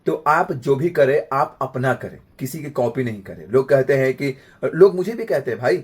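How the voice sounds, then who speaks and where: A man with a slightly husky voice, giving an informal acting lesson in an emphatic way, repeating phrases and checking in with rhetorical questions. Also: mid-range voice, expressive, normal speed. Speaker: acting coach.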